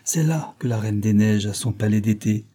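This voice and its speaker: A man's voice: fairly low pitch, moderate pace, dark tone, natural voice. A man voicing a young reindeer who talks about his snowy homeland in a wistful, eager way.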